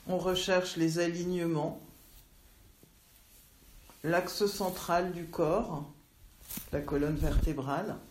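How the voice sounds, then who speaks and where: A woman teaching yoga nidra, guiding relaxation in measured instructional phrases with long pauses. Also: fairly low pitch, steady tone, darkish tone, a little hiss or hum. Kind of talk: slow guided relaxation meditation. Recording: roomy, echoey voice recording.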